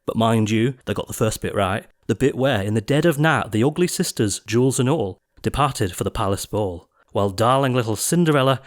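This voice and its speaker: A man narrating an audiobook of rhyming verse in a theatrical, expressive storytelling style. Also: medium pitch, fairly quick, hardly any pauses.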